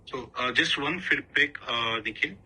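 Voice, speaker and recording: A man acting as a contest host, speaking in a halting, hesitant way. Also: medium pitch, sharp tone, husky, poor audio.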